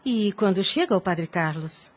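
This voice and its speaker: A woman's voice: mid-range voice, expressive, measured pace. A woman playing a gracious mature mother in an audio drama, polite, composed and formal. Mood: sympathetic, curious. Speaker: voice actor.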